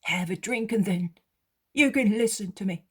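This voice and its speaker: A man's voice: high voice, moderate pace, husky, voice pushed up. A woman voicing a poor, humbled male beggar who pleads and repents. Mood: earnest. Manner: earnest, pleading.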